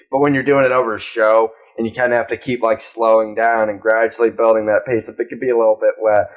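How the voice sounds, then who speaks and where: Young man chatting casually on a podcast. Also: mid-range voice. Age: young adult. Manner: rambling, frequent swearing. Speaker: podcast co-host. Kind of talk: casual chat.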